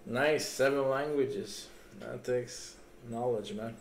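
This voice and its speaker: A man's voice: medium pitch, slow pace, slight background noise. Chill guy casting a fighting game tourney, swears a bit, roomy echo, mid voice. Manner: laid-back, swears casually, chats with chat. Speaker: tournament stream host. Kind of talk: casual live commentary. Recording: echoey room with faint game music.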